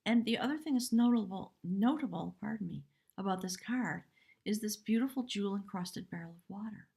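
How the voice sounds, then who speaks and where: A woman with a hoarse voice giving a live spiritual tarot reading in measured, reverent short phrases. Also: medium pitch, animated, a little mellow. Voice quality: husky, breathy. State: hoarse from much talking. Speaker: tarot reader, live stream host.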